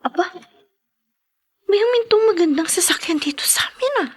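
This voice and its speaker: A woman's voice: very high-pitched, expressive, normal speed. A woman playing a sweet, devoted young daughter in a Filipino radio drama, with a Filipino accent. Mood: tired, chatty.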